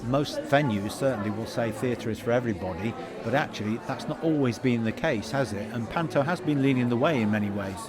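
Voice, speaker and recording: A man's voice: mid-range voice, brisk, over a noisy crowd. A man presenting a podcast as host, in scripted narration and interview questions.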